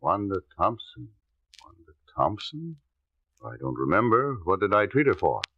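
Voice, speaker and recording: A man playing an unhurried, evasive doctor in a 1950s radio drama, muttering deliberately as if searching his memory. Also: fairly low pitch, sing-song. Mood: vague, musing. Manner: deliberate, muttering, repeats names. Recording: muffled, noisy old radio recording.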